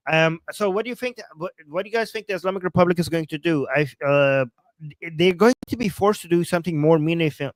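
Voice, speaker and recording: A man with a Persian accent, talking as host of a casual political livestream, excited and rambling, with many fillers and restarts. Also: mid-range voice, smooth, no echo.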